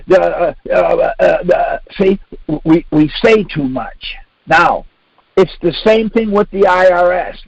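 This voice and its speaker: Older American male, husky and high-pitched, explaining informally and insistently on a lo-fi conference line. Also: no echo. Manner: insistent, repetitive, uses rhetorical questions. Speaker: speaker leading a conference call. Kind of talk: informal instructional talk with anecdotes and acted-out exchanges. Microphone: phone conference line. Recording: dull lo-fi conference call audio.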